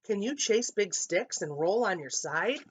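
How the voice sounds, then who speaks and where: A woman's voice: medium pitch, expressive, unhurried, husky. A woman voicing a friendly pet dog in a storybook, teasing and good-humored. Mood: playful.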